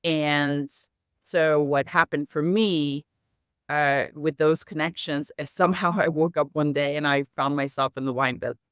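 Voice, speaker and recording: A middle-aged woman with an American accent, native in Hungarian, speaks in a smooth, clear voice with a dry, close sound. She answers as an interview guest, measured and articulate, with formal wording. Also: deep voice, fairly even intonation, no echo. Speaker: interview guest, marketing executive. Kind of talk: conversational interview storytelling.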